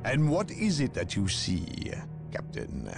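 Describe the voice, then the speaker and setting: An actor playing a cold, secretive male inquisitor who speaks in a measured, coldly lecturing way, in a video game cutscene. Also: medium pitch, huge pitch swings, gravelly, over music, exaggerated voice. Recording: voice over orchestral game score.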